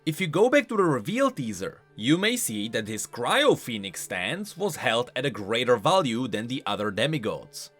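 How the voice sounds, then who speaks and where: A man hosting a video game lore explainer, speaking in an animated, emphatic way. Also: mid-range voice, moderate pace, few pauses. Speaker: host of a game lore channel. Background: music.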